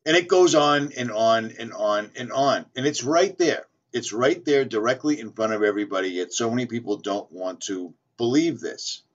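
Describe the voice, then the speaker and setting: A man's voice: mid-range voice, normal speed, hardly any pauses. Insistent man rambling, lo-fi audio. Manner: insistent, repetitive, reading aloud with stumbles. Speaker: flat earth video maker. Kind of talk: casual rambling monologue. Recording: dull lo-fi audio.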